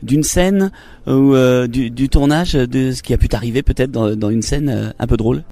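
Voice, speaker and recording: A man's voice: mid-range voice, moderate pace, dark tone. A man hosting a radio show, presenting and interviewing guests.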